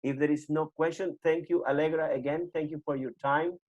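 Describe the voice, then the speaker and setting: A man hosting a webinar on a video call, giving informal closing announcements in a halting way and repeating himself. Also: on the high side, fairly even intonation, darkish tone. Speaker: webinar host. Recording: muffled video call audio.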